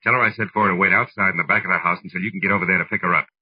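A man's voice: low-pitched, steady tone, very fast, slightly rough, no echo. A man acting a hard-boiled, wry private detective with an American accent and clipped, slangy delivery in a 1940s radio drama. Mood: urgent, commanding. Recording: muffled old-time radio recording.

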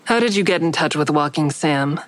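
A woman's voice: low voice, expressive, normal speed. An actress playing a guarded, callous woman who narrates her own thriller in a restrained, probing way. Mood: guarded, tense. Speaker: narrator and lead character. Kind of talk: first-person audio drama narration and dialogue.

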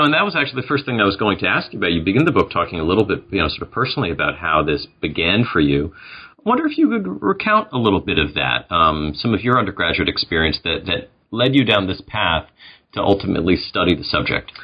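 A man's voice: low voice, very animated, fast talker, slightly rough. A man hosting a podcast, asking friendly, hesitant questions with fillers. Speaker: podcast host. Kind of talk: podcast interview. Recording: dull lo-fi audio.

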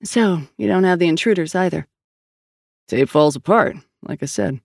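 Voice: medium pitch, normal speed, gravelly, no room sound. An audiobook narrator playing a weary, skeptical male police partner, blunt and dryly sardonic. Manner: dry, sardonic, reluctant.

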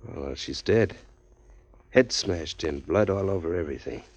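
An actor playing a streetwise, hardboiled drifter who narrates in a tough, dry, wisecracking way, in 1940s radio acting. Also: male voice, sits low, expressive, moderate pace, slightly rough, dry recording, exaggerated voice. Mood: wry. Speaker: narrator and lead. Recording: dull lo-fi 1940s radio recording, faint music.

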